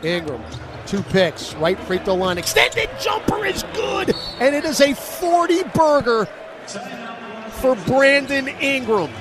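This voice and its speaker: An American man calling live basketball on the radio in punchy, clipped phrases that can burst into shouts. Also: extremely high pitch, very animated, slow pace. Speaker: radio play-by-play announcer. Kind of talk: excited live basketball commentary. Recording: noisy live arena broadcast. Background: arena noise and basketball bounces.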